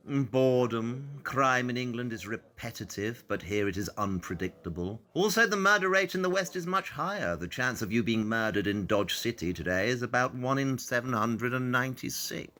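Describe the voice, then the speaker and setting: An actor playing a cold, brilliant detective who speaks in a curt, condescending and precise way. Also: male voice, mid-range voice, animated, normal speed, hardly any pauses, pushed and bright. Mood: cool, deductive. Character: cold, brilliant male detective, aloof and impatient. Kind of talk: audio drama acting. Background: faint piano music.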